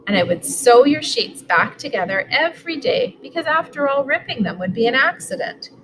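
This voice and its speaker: A woman's voice: high-pitched, big pitch swings, normal speed, background noise. A woman, reading a picture book aloud at home. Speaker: school superintendent reading aloud. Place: living room sofa, indoors. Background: music.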